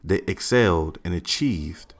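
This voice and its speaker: A man reading as a loving storytelling dad. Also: sits low. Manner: slow, expressive read-aloud storytelling. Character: loving father telling his little daughter family history. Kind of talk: family read-aloud of a picture book. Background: faint added music.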